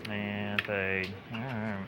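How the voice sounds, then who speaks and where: A male newscast director works through live cues in short, clipped commands. He mutters brief asides between calls. Programme sound and music play clearly behind him. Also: on the low side, flat delivery, slow pace. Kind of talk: calling live control-room cues. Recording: control room with programme audio behind. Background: newscast audio playing underneath.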